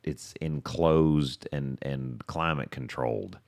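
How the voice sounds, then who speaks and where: A man's voice: very deep voice, slow pace, no room sound, clean studio sound. A man co-hosting a podcast in casual banter, playfully dropping in quips. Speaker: podcast co-host.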